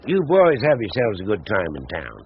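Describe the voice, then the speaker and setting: An actor playing a plain-spoken, aging ranch boss with a gruff, husky American voice in a radio drama. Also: male voice, lowish voice, wildly swooping pitch, normal speed, a little hiss or hum. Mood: brusque, questioning. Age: older. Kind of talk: naturalistic radio drama acting. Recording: tinny narrow-band radio sound.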